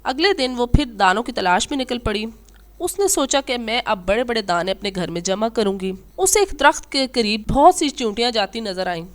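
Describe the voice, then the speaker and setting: A woman storyteller with a somewhat high voice. She reads a scripted moral tale for children, moving through it as narration. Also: lively intonation, moderate pace.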